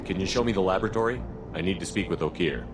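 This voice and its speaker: Military commander in a game, low voice, firm and clipped, talks fast. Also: male voice, heavy background noise. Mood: probing. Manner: terse, firm questioning. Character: male military commander, stern, controlled, probing. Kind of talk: video game acting.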